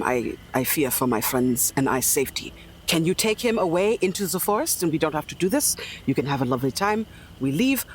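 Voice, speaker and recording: A man playing a polite, earnest German negotiator in a tabletop roleplay, speaking formally with German words mixed in. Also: fairly high pitch. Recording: background ambient noise.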